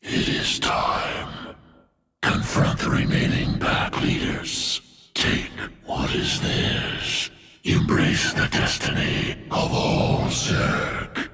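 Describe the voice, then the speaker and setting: An actor playing an ancient primal alien beast elder who speaks in solemn, commanding proclamations. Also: male voice, very high voice, huge pitch swings, extremely slow, pushed and bright, a little hiss or hum, heavily acted.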